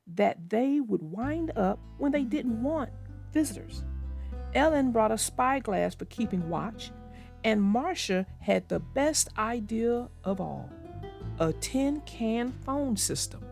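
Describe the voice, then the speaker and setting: A woman reads and talks through a children's story in a fairly high, very animated voice. Her phrasing is emphatic and deliberate, and music plays behind her. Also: vocal fry. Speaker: children's book narrator. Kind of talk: expressive storybook read-aloud with informal commentary.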